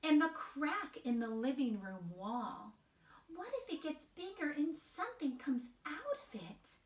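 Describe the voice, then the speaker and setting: Woman voicing a high-pitched, fretful little girl mouse. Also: sing-song, a little slow. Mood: anxious. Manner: fretful, questioning. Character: anxious little girl mouse, fretting over everything. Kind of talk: picture-book read-aloud character voice. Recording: roomy, distant microphone.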